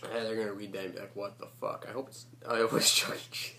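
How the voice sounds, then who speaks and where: A man's voice: lowish voice, level tone, quick pace, sharp tone. Crude, joking male prank caller. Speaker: prank caller hosting his own video. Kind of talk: casual chat, crude and profane. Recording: noisy homemade call recording.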